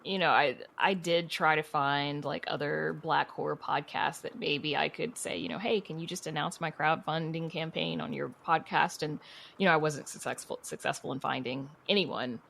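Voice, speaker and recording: A woman with a low voice talks as a guest in a relaxed interview. Her speech has a marked creaky fry. She is chatty and conversational, dropping in frequent little asides. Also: moderate pace, slight background noise. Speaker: guest, podcast creator and writer.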